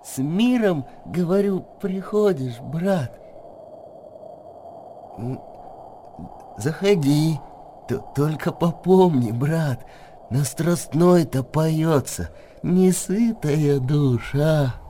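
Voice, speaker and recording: An actor playing a kindly old monastery gatekeeper in a radio play, slow and folksy, often questioning. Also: male voice, a little high, lively intonation, mellow, a little hiss or hum. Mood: gently probing. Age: older. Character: kindly old monk gatekeeper, gentle and small.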